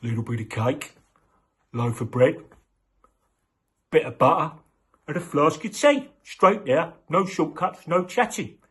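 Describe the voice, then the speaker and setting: Bossy mum done by a bloke, high and loud, brisk orders, bit raspy. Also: male voice, full character voice.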